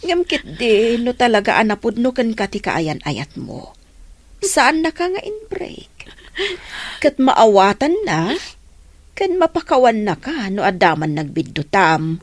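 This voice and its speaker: A woman playing a motherly older neighbor who gently consoles, with an Ilocano accent, in a radio drama. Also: medium pitch, big pitch swings, measured pace, no echo. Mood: consoling.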